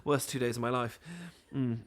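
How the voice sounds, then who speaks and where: A male performer voices an adorkable British man in a romantic ASMR-style roleplay. He is nervous, bookish and apologetic, with a mid-pitched voice. His sentences stammer, stall and wander off into hesitant, flustered rambling. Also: flat delivery, normal speed, close to an everyday voice. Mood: sheepish, rambling.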